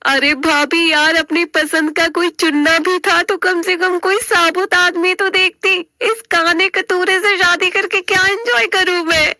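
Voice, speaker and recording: A woman's voice: very high-pitched, fairly even intonation, unhurried, hardly any pauses, bright, forceful tone, dry recording, voice pushed up, exaggerated voice. A woman voicing a melodramatic young sister-in-law, indignant and comically aggrieved, wailing her complaints.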